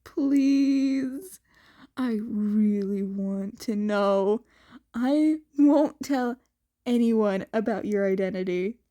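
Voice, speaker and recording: A woman's voice: mid-range voice, very slow, dark tone. A woman voicing a cheeky, nosy teenage boy who needles and wheedles in an audiobook story. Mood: pleading.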